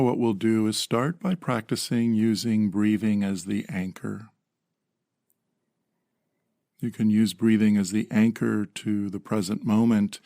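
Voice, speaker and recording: A man calmly leading a guided meditation in measured phrases with long pauses. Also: fairly low pitch, no echo, studio quality.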